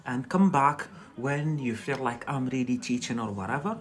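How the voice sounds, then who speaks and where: A man's voice: mid-range voice, normal speed. A man with a Scottish accent teaching English on a live stream, chatty and loose, tagging sentences with "yeah?" and repeating words for practice.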